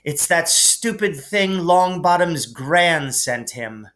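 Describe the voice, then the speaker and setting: A man's voice: a little high, expressive, takes it slowly, fairly bright tone, voice pushed up. A man voicing a sneering, mocking schoolboy bully who goads others, in a storybook reading. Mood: nasty teasing. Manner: mocking, goading.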